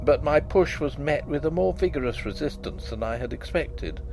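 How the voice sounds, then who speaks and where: A man's voice: a little high, lively intonation, moderate pace, creaky, darkish tone, slight background noise, low-quality recording. A man reading a gothic ghost story aloud with dramatic, suspenseful delivery.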